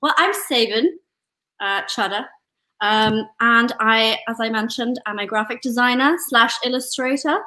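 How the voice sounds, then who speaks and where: A woman's voice: on the high side, a little slow, sharp tone, poor audio. A woman from Kenya chatting as a guest on a comedy show. She sounds a little unsure and giggles as she talks. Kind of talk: casual chat.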